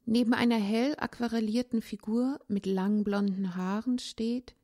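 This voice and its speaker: A woman reading a literary essay aloud in a measured, contemplative way, with pauses between phrases. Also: a little high, steady tone, warm tone. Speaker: narrator, language teacher.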